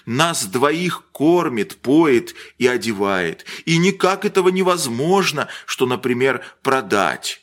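A man voicing a poor old organ grinder who speaks slowly with quiet dignity. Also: expressive. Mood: dignified. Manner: slow, dignified, low mutter. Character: poor old male organ grinder, humble but proud.

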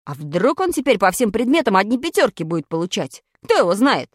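A woman's voice: mid-range voice, big pitch swings, fairly quick, no echo, clean studio sound, pitched below the performer's own voice. A woman voicing a brash schoolboy schemer in a children's story, insistent and self-justifying. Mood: matter-of-fact. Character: brash, clumsy, excuse-making schoolboy schemer.

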